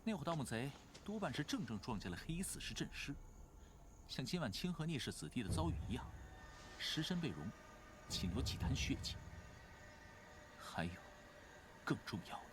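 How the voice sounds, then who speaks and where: An actor playing a sly, playful young male cultivator in an animated fantasy drama, musing sardonically. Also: mid-range voice, normal speed, pauses often, background noise, natural voice. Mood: musing, wry. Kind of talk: animated drama dub acting.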